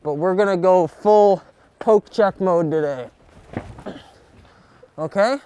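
A young man outdoors giving a jokey sports tutorial, coaching in a mock-serious, deadpan way full of hockey slang. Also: sits high, takes it slowly, clear, resonant tone. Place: backyard, outdoors. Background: music.

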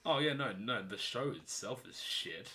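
A man's voice: medium pitch, lively intonation, moderate pace, sharp tone. A young man chatting casually and joking while playing a game with friends. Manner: playful, jokey, laughs often. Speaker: gamer. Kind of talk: casual gaming chat.

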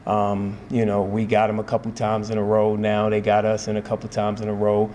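A man in his forties, a head basketball coach, talking in a measured, steady way with many fillers and repeated phrases at a post-game press conference, speaking into table microphones. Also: lowish voice, a little hiss or hum. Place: press room in front of a sponsor backdrop.

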